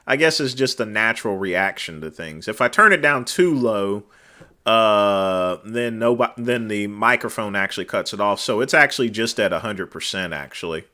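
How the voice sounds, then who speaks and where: A man hosting a casual livestream, talking to viewers in a loud, carrying voice, rambling with many fillers and letting phrase ends drop off quietly. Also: fairly low pitch. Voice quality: naturally loud, cutting voice. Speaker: livestream host. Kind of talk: casual chat reading viewer comments. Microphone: desk microphone.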